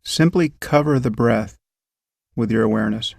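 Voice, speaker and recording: A man calmly guiding a meditation, giving slow, gentle instructions about breath and sensation. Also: mid-range voice, gravelly, no room sound, studio quality. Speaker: meditation guide. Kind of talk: guided meditation.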